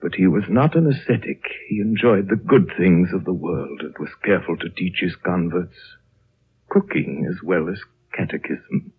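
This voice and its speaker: Ancient gentle lama, deep and breathy, learned and courteous, vintage narrow-band radio drama. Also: male voice, exaggerated voice. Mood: measured, scholarly. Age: older. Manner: slow, courteous, measured storytelling. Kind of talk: 1930s radio drama acting. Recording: muffled, narrow-band old radio broadcast.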